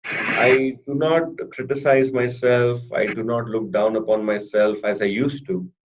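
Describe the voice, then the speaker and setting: A man giving a testimonial as a therapy client, speaking deliberately, with a mild stutter of repeated words and brief blocks. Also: mid-range voice, fairly even intonation. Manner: measured, deliberate delivery. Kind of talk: interview answers. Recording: dull lo-fi recording with faint hiss.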